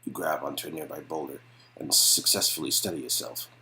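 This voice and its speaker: A man's voice: a bass voice, flat delivery, a little fast, bright, forceful tone, slight background noise, rough recording. A man narrating an interactive story game as a playful dramatic read-aloud. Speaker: reader and narrator of a game's dialogue.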